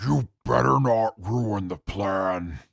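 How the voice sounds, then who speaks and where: A man playing a stern, proud prisoner with a gravelly voice and a formal, lecturing way of talking in an audio drama. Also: on the low side, no echo, studio quality, heavily acted. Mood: curt, determined. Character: stern, haughty male prisoner, proud and scornful. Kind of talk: post-apocalyptic audio drama acting.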